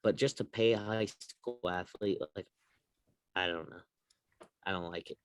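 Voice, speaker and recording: A man's voice: sits low, measured pace, clean tone, dry recording. A man co-hosting a sports podcast in casual conversation. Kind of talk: casual chat.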